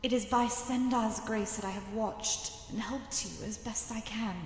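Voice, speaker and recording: A woman voicing the knowing spirit of a dead sister in a game mod, measured and portentous, with hushed phrase endings. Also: on the high side, steady tone, husky. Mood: tender, forgiving. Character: long-dead sister's spirit, solemn, knowing, finally forgiving. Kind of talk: dramatic video game mod voice acting.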